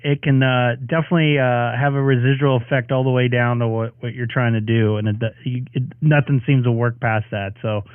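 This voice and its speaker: A man's voice: medium pitch, smooth, no echo. A man hosting a ham radio stream, chatting casually and rambling with many fillers while his voice is starting to go. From Southern California, USA. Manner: rambling, filler-heavy, friendly sign-off talk. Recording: tinny radio audio, dry.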